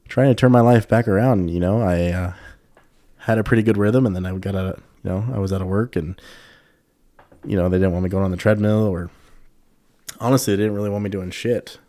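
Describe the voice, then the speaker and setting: Young guy, low voice, kinda stuffy-sounding, just rambling on his podcast, lots of ums, dry close mic. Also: warm tone, dry recording. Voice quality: slightly congested. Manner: rambling, loose and candid, many fillers and swearing. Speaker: podcast host. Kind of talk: casual solo podcast chat.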